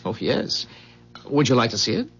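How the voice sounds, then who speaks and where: Earnest inventor with swooping, slightly husky delivery. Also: male voice, medium pitch, big pitch swings, normal speed, a bit bright, exaggerated voice. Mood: eager, hesitant. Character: earnest, idealistic male inventor, proud of his revolutionary engine. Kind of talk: 1970s radio drama acting. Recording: dull, muffled 1970s radio broadcast recording.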